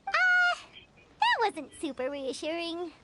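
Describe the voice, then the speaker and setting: A woman's voice: high voice, sing-song, unhurried, heavy background noise, full character voice. A woman playing an anxious, polite young woman in a video game, speaking in a hesitant, dainty way. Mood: uneasy, curious.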